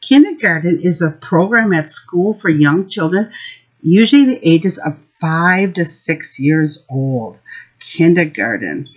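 A middle-aged woman teacher explaining simple words and reading a story aloud to young children, instructive and expressive. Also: lowish voice, takes it slowly, breathy edge. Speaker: preschool teacher. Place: small room at home. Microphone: built-in webcam or laptop mic. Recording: dull, lo-fi webcam audio.

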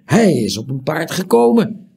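Curious tavern patron voiced by a man, gravelly. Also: fairly high pitch, big pitch swings, normal speed, darkish tone, voice pushed up, heavily acted. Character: curious male tavern guest.

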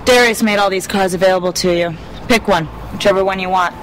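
A woman's voice: mid-range voice, steady tone, fast talker, big-room sound, slight background noise, close to an everyday voice, poor audio. An actress playing a tough, guarded young female street racer in a video game, curt and dismissive. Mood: impatient. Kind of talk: video game cutscene acting.

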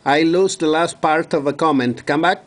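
A man's voice: on the high side, moderate pace, very noisy. A man from Mexico speaking as a radio operator, courteously repeating details and call signs.

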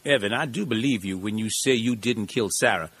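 A man's voice: on the low side, brisk, fairly bright tone, breathy edge. A man playing a genial, eccentric middle-aged small-town lawyer in an audio drama, with a folksy, rambling storyteller's manner. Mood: measured.

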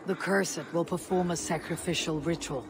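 A woman's voice: fairly low pitch, steady tone, moderate pace, background noise, lightly acted. An actress playing a stoic female Viking warrior in a video game, terse and resolute. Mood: brusque. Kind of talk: video game cutscene acting.

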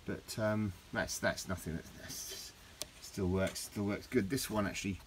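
A middle-aged man with a low voice, rambling through a casual hands-on garden demonstration with lots of restarts. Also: steady tone, moderate pace, slight background noise. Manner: rambling, with many restarts and fillers. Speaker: DIY vlogger. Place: outdoors in a garden.

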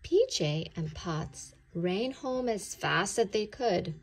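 A woman reading a children's story aloud in an expressive, deliberate way. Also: mid-range voice, slight background noise.

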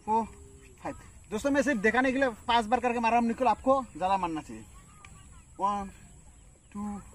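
A young man in his twenties demonstrating a home workout in a field, counting reps aloud while he lifts, with many fillers. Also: sits high. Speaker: fitness instructor. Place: open farm field outdoors. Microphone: camera at a distance. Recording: distant outdoor camera audio, faint noise.

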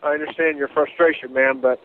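A man's voice: on the high side, steady tone, fairly quick. Polite male officer on tinny phone. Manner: polite, matter-of-fact. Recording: noisy, tinny phone line.

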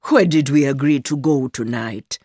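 A woman voicing an older rich man, a smooth, condescending and fatherly secret lover in an audiobook story. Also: a bass voice, normal speed, warm tone, no echo, clean studio sound, pitched below the performer's own voice. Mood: smooth, probing. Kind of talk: acted dialogue in audiobook story.

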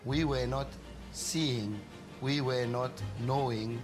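A man's voice: mid-range voice, steady tone, slow pace, over music. A man speaking in a documentary in short, emphatic phrases.

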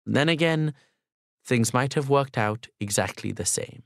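A man narrating a literary audiobook in the first person, in a formal, measured and polite manner. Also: medium pitch, vocal fry, no echo, clean studio sound.

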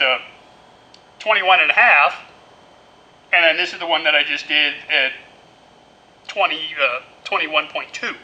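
A man's voice: on the high side, pushed and bright, rough recording. A middle-aged man giving a casual, folksy welding demonstration in his workshop, full of "you know"s. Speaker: welding instructor. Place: indoor metal workshop.

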